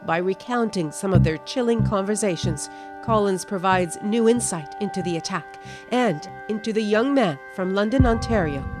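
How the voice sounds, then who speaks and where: A woman's voice: medium pitch, lively intonation. Woman narrating a news report over music. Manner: measured, dramatic storytelling delivery. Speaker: television news correspondent. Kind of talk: scripted documentary news narration. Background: music bed under the voice-over.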